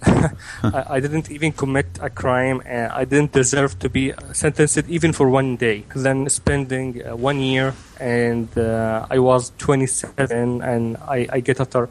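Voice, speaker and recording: A man in his twenties, from Egypt and raised in Kuwait, answering interview questions hesitantly with many pauses and restarts. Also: mid-range voice, level tone, a little hiss or hum. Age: 20s. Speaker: interview guest. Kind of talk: remote interview.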